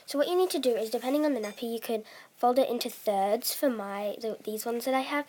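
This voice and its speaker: A teenage girl talks through a casual vlog in a fairly high voice. She speaks softly, leans on fillers, and often fades into a whisper. She has a bad cough. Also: quick pace. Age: teens. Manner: soft-spoken, many fillers, trails off into whispers. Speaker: doll collector vlogger. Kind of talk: casual chat.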